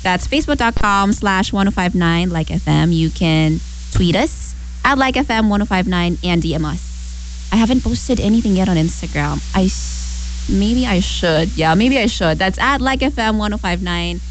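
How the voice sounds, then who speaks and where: A woman's voice: medium pitch, lively intonation, normal speed. Young woman radio host, noisy recording. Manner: conversational, addresses listeners with questions. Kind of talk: casual radio talk show with advice.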